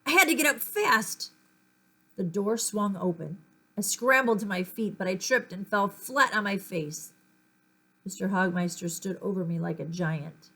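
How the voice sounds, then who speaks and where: A woman reading a children's story aloud in an expressive, dramatic storytelling manner. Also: mid-range voice, a little slow, low-quality recording. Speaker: audiobook-style reader.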